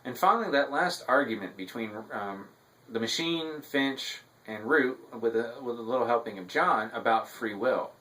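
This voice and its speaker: Male reviewer, mid-pitched voice, semi-scripted commentary, hesitant delivery with frequent filled pauses. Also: animated, sharp tone, poor audio. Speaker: TV episode reviewer.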